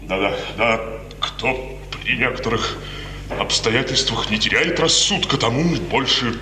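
A man's voice: medium pitch, expressive, unhurried, sharp tone. An actor playing a proud, anguished father, intense and bitterly ironic, in 1950s theatrical radio style. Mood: anguished, pleading. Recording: echoing hall, noisy old radio recording.

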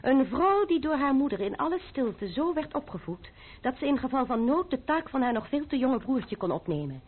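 Radio drama: young noblewoman avenger, proud, scornful, high voice with a husky edge, old narrow-band recording. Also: big pitch swings, moderate pace, heavily acted. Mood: proud, defiant. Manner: haughty, scornful, commanding. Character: proud, defiant young French noblewoman, sword-fencing avenger. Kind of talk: Dutch radio play acting.

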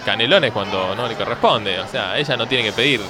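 A man with a Rioplatense Argentine accent playing a bumbling, callous doctor in a radio comedy sketch, deadpan and hesitant. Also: medium pitch, a little fast, close to an everyday voice. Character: shady, bumbling thirty-something doctor, casually callous.

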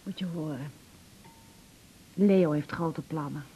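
Husky, confiding older mother. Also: low-pitched, slow, warm tone, soft background music, full character voice. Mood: wry, gossipy. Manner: confiding, hesitant. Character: older widowed mother, candid, anxious, gently wry. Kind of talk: naturalistic radio drama acting.

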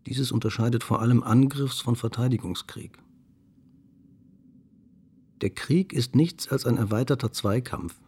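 A man reciting a literary radio-play monologue in a measured way, husky and gravelly, at times nearly whispering. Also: mid-range voice, a little mellow. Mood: grave, didactic.

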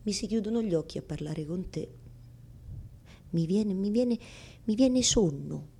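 An actress playing an anguished, embarrassed woman who confronts God in a theatrical radio monologue, halting and repeating her words insistently, blunt and exasperated. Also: mid-range voice, expressive, gravelly, mellow, slight background noise. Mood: bored, weary.

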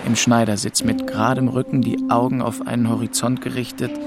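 An actor playing a weary, haunted young man who narrates his story in a measured, brooding way. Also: medium pitch, little pitch movement, very noisy, natural voice. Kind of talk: scripted first-person audio drama narration.

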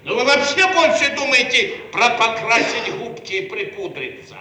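An actor playing a pompous, self-satisfied Soviet bureaucrat boss in an old radio play, declaiming as if dictating. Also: male voice, extremely high pitch, very animated, moderate pace, bright, forceful tone, exaggerated voice. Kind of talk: archival Soviet radio theatre acting. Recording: echoey archival radio recording, faint noise.

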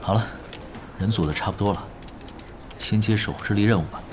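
A man's voice: lowish voice, fairly quick, noisy background, natural voice. A man playing a sly, unruffled game strategist in an audio drama, teasing and sure of himself. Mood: assured. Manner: teasing, assured.

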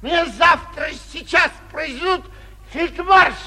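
A man's voice: very high voice, gravelly, pushed and bright, soft background music, exaggerated voice. An actor playing a boastful, tipsy young man who gets carried away, in theatrical 1940s radio drama style. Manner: drawn-out bragging with sudden swells and drops.

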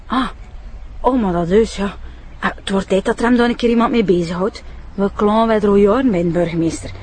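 A woman's voice: medium pitch, fairly quick, darkish tone, close to an everyday voice. A woman with a Flemish accent playing a flustered, gossipy village wife with a rambling, homely manner in an audio drama. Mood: indignant, gossipy. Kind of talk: character acting in audio drama. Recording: faint background noise.

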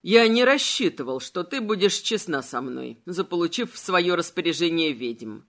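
A cold male immortal villain from a fantasy audiobook, acted out by a woman. The voice drops low and moves with slow, insinuating mockery. Every phrase is measured and manipulative. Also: lively intonation. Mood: cool, calculating. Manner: insinuating, unhurried, mocking.

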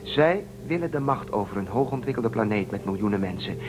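A man's voice: on the low side, animated, fairly quick, distant mic, background noise, natural voice. An actor playing a bold, protective, rebellious young man on the run aboard a spaceship in a Dutch radio drama, with an urgent, commanding manner. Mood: intent, suspicious.

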